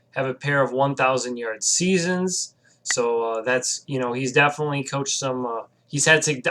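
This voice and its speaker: A man's voice: mid-range voice, a bit bright. A young man, just over a cold, giving casual video commentary on a new coach, rambling with fillers and self-corrections. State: recovering from a cold. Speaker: sports vlogger.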